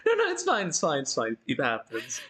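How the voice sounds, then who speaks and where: A man hosting a casual livestream chat, talking informally in a halting way and often repeating himself. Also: mid-range voice, huge pitch swings, a crowd in the background. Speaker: livestream host.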